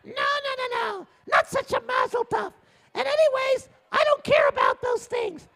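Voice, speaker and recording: A man's voice: very high-pitched, expressive, normal speed, vocal fry, sharp tone, pitched above the performer's own voice, full character voice. A man voicing a distraught, brusque crying mother in an oral story, wailing and impatient. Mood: exasperated, upset.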